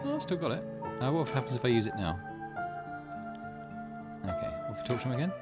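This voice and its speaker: A man's voice: medium pitch, big pitch swings, fairly quick, loud music behind the voice. A man hosting a gaming channel, commenting on a visual novel as he reads it aloud. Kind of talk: let's play commentary, reading visual novel text aloud.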